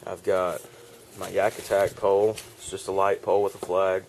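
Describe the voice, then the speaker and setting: Young man, laid-back rambling vlogger, low flat delivery, handheld camera mic. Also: normal speed, a little hiss or hum. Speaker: fishing vlogger. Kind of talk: casual show-and-tell vlog. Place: outdoor wooden porch.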